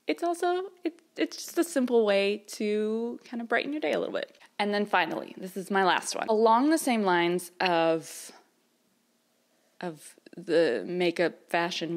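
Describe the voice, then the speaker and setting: A woman, chatting casually to camera in a rambling way with fillers and small laughs. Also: mid-range voice. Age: 30s. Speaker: vlogger. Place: her mother's house, indoors.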